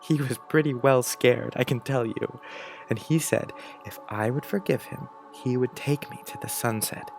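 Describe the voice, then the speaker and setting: A man plays an imaginative young schoolboy in an audiobook drama. He tells his fanciful letter with earnest, wide-eyed wonder at a medium pitch, with soft music behind him. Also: moderate pace, vocal fry, natural voice, rough recording. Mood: confiding.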